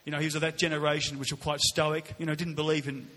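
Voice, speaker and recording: A middle-aged Australian man with a loud voice, answering as a politician at a public forum, repeating words haltingly. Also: fairly high pitch, flat delivery. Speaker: politician on a panel. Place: large indoor hall, public forum. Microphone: shared event microphone. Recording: echoey hall with background noise.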